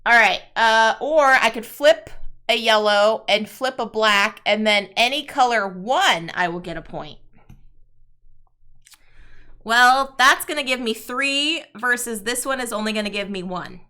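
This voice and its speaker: A woman chatting with her viewers while explaining a solo card game on a live stream, her voice slightly raspy before her morning tea. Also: fairly high pitch, animated, measured pace, bright, forceful tone. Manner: chatty, talks to chat viewers, sings playfully. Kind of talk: casual live-stream commentary.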